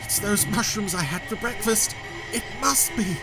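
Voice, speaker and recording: A man voicing a greedy, excitable villain boss with theatrical, blustering delivery in an audio story. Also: high-pitched, moderate pace, over loud music, voice pushed up, natural voice. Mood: alarmed.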